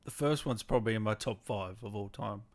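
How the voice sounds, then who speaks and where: A man's voice: on the low side, brisk, a crowd in the background. A man hosting a gaming show, asking questions in casual interview chat.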